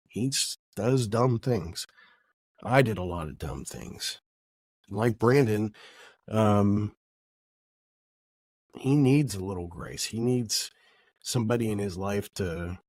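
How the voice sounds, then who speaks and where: A middle-aged podcast host sounds stuffy and nasal from a cold. He muses aloud in a loose, wandering way, doubling back on his words. His voice sits at an ordinary pitch in a dry, close recording. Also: no room sound. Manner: reflective, rambling, self-correcting. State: has a cold. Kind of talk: casual podcast monologue.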